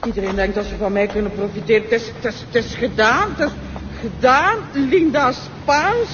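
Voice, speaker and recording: A woman's voice: on the high side, normal speed, background noise, lightly acted. An actress playing a drunk Flemish woman who slurs, stammers and rants at men, in a radio drama. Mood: drunken rant. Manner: slurred, stammering, loud outbursts. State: drunk, slurring. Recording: dull lo-fi radio recording.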